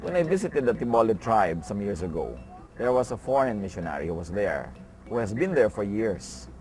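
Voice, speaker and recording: A man's voice: lowish voice, big pitch swings, normal speed, darkish tone, soft background music. A man from the Philippines, speaking earnestly and explaining things in a documentary interview.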